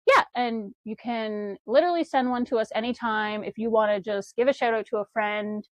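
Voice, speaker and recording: A woman's voice: a little high, normal speed, dry recording. A woman co-hosting a casual podcast from home, chatty and rambling, laughing easily. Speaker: podcast co-host. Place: home with a wood stove.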